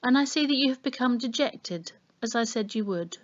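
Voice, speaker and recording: A woman voicing a gentle, solemn fortune-teller in a storybook, murmuring slowly and knowingly. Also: fairly high pitch, expressive, highs cut off, voice pushed up, lightly acted. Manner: gentle, murmuring, slow and portentous. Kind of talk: children's storybook reading.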